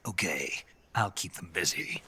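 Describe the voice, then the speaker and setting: Gruff low soldier for a stealth war game, guarded and terse, gravelly, bit of noise behind. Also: male voice, measured pace, sharp tone, exaggerated voice. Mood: focused. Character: gruff, wary male soldier on a stealth jungle mission. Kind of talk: cinematic video game acting.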